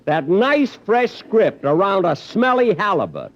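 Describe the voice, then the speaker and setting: A man's voice: high voice, big pitch swings, a little slow. An actor playing a vain, stingy male comedian who talks with indignant exasperation in a 1950s radio comedy. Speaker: star comedian. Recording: dull lo-fi old radio recording with faint hiss.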